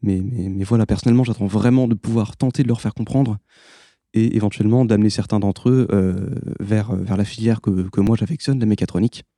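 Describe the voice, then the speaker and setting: A young adult man with a fairly low, very dark voice. As a student, he answers thoughtfully and earnestly, stacking up phrases one after another. The sound is close and dry. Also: steady tone, brisk, dry recording. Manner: earnest, reflective, list-like phrasing. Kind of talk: interview answers.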